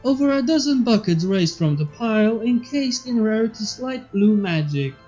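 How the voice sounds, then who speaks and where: A woman's voice: on the high side, lively intonation, normal speed, dark tone. A woman reading fan fiction aloud as a scripted story narrator. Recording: slightly muffled, faint music behind. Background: background music.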